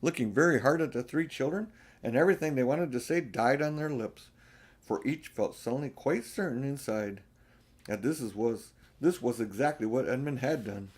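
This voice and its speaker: A man's voice: medium pitch, moderate pace, husky. A man reading a storybook aloud as an audiobook narrator. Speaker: audiobook reader.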